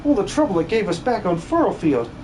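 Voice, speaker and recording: A man's voice: high voice, very animated, fast, darkish tone, slight background noise, low-quality recording. A man voicing an eager, folksy country villager in a hobby video game dub, effusive and exclamatory. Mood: cheerful, earnest. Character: eager, chirpy country villager tending a river.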